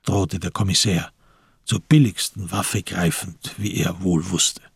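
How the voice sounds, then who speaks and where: A man giving a deliberate, solemn scripted literary narration for a radio drama. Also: deep voice, steady tone, creaky, breathy edge, dry recording, clean studio sound.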